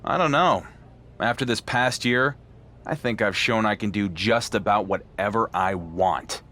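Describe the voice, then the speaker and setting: A lowish, defiant champion wrestler. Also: male voice, a little slow, sharp tone. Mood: cool, challenging. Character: defiant, dry-witted male champion wrestler. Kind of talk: dramatic video game cutscene dialogue.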